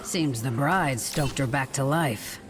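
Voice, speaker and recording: A woman voicing a level-headed, wary fighter who speaks calmly and skeptically. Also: very deep voice, slow. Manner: calm, steady, skeptical. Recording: loud game music behind.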